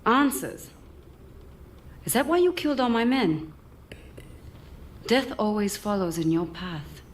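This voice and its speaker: A woman's voice: medium pitch, sing-song, slow, a little hiss or hum. An actress playing a scornful, defiant woman warrior in a video game, with a cool, sardonic manner. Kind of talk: cinematic video game acting.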